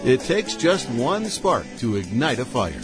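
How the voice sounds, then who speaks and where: A man reading a scripted promo voice-over as the show's announcer, with a lively, upbeat delivery. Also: mid-range voice, unhurried, music in the background. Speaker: show announcer.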